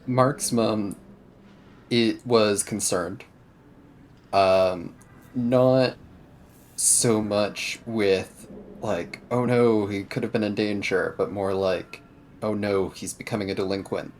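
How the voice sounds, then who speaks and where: Slow, low-voiced man. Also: fairly even intonation, a little hiss or hum. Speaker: tabletop roleplay player. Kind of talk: casual tabletop roleplay discussion.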